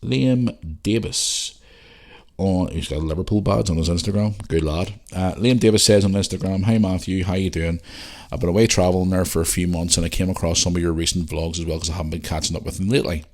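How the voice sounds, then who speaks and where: Man, Northern Irish accent, low-pitched voice, informal podcast host, casual chat, chatty and unpolished. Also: steady tone, fairly quick, darkish tone. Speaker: podcast host answering listener questions. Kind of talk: casual chat and reading out messages.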